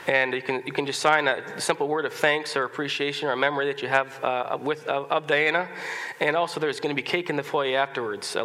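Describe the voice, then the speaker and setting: A male pastor preaching a sermon with a stuffy nose from a cold, talking conversationally with many restarts, coughs and throat-clearing. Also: medium pitch, pushed and bright. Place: church sanctuary. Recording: long reverb of a church hall.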